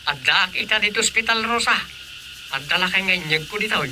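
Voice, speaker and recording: A man's voice: high voice, fairly quick, pushed and bright, slight background noise. A man playing an exasperated comic village councilor with an Ilocano accent, full of questions and exclamations, in a radio comedy-drama. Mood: alarmed.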